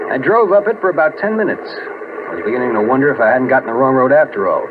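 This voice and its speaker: An actor playing a casual American army truck driver recounting an uncanny encounter in an old radio drama. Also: male voice, medium pitch, lively intonation, fast, phone-quality sound, background noise, close to an everyday voice. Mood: puzzled, uneasy. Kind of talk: old-time radio first-person narration. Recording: band-limited old radio recording. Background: music.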